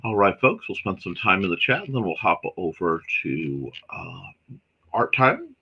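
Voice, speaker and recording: A man hosts a laid-back morning livestream, his voice slightly hoarse and husky today. He rambles apologetically with plenty of ums, and his pitch jumps around with a bright, lively edge. The audio is dull and lo-fi. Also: a little slow. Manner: apologetic, rambling with many fillers. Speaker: host of a creative morning show. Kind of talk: casual livestream chat.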